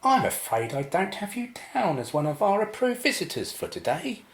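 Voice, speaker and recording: A woman's voice: fairly low pitch, big pitch swings, moderate pace, roomy sound. A British woman playing a chatty, flustered young receptionist in a radio play, polite and hesitant. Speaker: actor.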